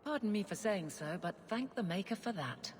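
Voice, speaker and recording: A woman's voice: mid-range voice, animated, normal speed, darkish tone, big-room sound, faint music behind, natural voice. An actress playing a stern, blunt female captain of the city guard in a video game. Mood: dry, wry. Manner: blunt, commanding.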